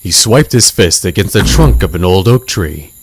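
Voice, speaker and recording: A man narrating a scripted adventure story in a measured, dramatic storybook manner. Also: deep voice, lively intonation, breathy edge.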